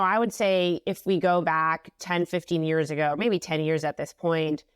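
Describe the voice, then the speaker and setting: A woman's voice: lowish voice, no echo, clean studio sound. A woman in her thirties from Israel, answering interview questions in a chatty way with many ums, over an earphone mic on a video call from a home office. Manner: chatty, frequent ums and restarts. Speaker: guest, company CEO.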